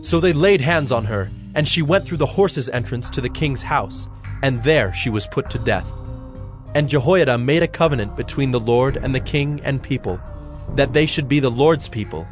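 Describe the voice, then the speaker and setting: Solemn male scripture narrator over faint music. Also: mid-range voice.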